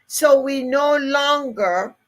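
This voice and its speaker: An older woman with a Jamaican accent speaks slowly and deliberately in a motivational talk. She stresses and repeats her main phrases. Her voice sits a little high. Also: a bit bright, low-quality recording. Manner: emphatic, repeats key phrases. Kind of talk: motivational live-stream talk.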